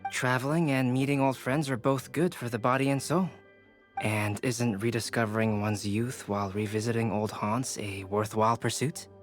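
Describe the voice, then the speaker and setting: A calm, courteous young man, voiced by a man, speaks politely and with quiet curiosity at an ordinary pitch. Faint game music plays behind him. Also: moderate pace, natural voice. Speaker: teacher. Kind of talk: video game voice acting.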